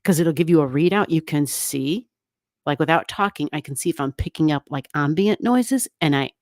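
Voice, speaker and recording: A woman's voice: deep voice, expressive, moderate pace, darkish tone, dry recording, clean studio sound. A woman hosting an astrology livestream from a room at home, chatting casually with viewers, rambling and laughing often. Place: home room, not soundproofed. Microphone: desk microphone, turned down halfway.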